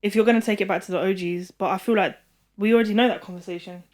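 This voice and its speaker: A woman's voice: mid-range voice, steady tone, very fast, darkish tone. A woman, co-hosting a casual music podcast, chatty and full of questions. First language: not English. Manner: chatty, asks lots of questions, says "do you get what I'm saying". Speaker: podcast co-host. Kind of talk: casual chat.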